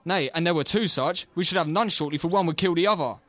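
Hot-headed young gentleman played by a young man, slightly high voice, mocking banter, classical audio drama. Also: fast talker. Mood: mocking, playful. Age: young adult. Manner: taunting, bantering. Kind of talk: Shakespeare audio play acting.

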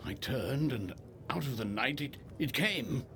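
A man's voice: medium pitch, animated, measured pace, a bit bright. An actor playing a stuffy, reticent male solicitor in a period audio drama, speaking in a formal, pompous, hesitant way. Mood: uneasy. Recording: clear background noise.

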